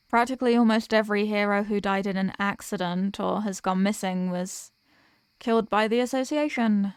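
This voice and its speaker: A woman acts out a fallen heroine turned villain, full of remorse, in an audio roleplay. Her voice is mid-pitched and recorded very dry. She speaks earnestly and haltingly, pausing between phrases as she confesses to someone she loved. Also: smooth, no room sound, natural voice. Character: remorseful female villain, former hero, confessing to lost love.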